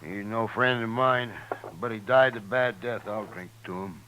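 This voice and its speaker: An American actor playing a plain-spoken, resentful buffalo skinner in a 1950s radio western, talking in a rustic, grudging way. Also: male voice, fairly low pitch, moderate pace, highs cut off, noisy background, natural voice. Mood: surprised, grudging. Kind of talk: 1950s radio drama acting.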